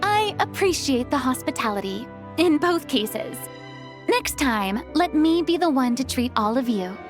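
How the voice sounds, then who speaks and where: A woman's voice: high-pitched, very animated, a little slow, soft background music, full character voice. A woman voicing a gracious, theatrical young lady in a video game, eagerly polite with a touch of nerves. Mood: polite, amused. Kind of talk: English video game voice acting.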